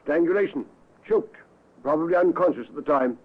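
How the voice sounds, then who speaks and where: An actor playing a dry, assured police doctor with pointed delivery, in old-time radio drama style. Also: male voice, a little high, brisk, lightly acted. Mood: assured, grave. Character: dry, emphatic British police doctor reporting findings. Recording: dull vintage radio recording.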